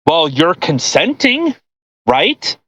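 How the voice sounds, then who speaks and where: A man co-hosting a podcast, giving casual commentary in halting, rambling explanations peppered with "you know". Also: fairly high pitch, very animated, fairly bright tone, slightly rough, no echo. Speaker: podcast co-host.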